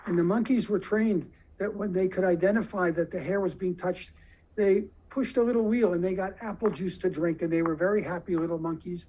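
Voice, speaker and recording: A man giving a lecture to surgeons, explaining slowly and deliberately with questions to the audience. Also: sits high, steady tone, dark tone, a little hiss or hum, low-quality recording.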